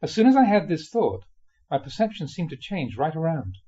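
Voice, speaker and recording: Male reader for spiritual memoir audiobook: fairly high-pitched, soft mellow tone, measured delivery with dramatic pauses, slightly dull recording. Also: very animated, breathy edge. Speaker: reader of Buddhist teachings.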